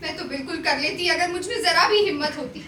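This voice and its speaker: A very high-pitched, volatile courtesan. She is proud and wounded, declaiming with raw theatrical emotion. The sound is roomy and picked up from a distance, with faint music behind. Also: quick pace, pushed and bright, slight background noise. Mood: remorseful, pleading. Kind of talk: Hindi stage play acting.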